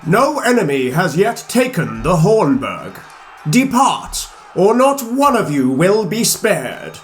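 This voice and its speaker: A man's voice: high voice, big pitch swings, slow, soft background music, pitched above the performer's own voice, heavily acted. A man voicing a proud, defiant king who speaks in a stately, commanding way.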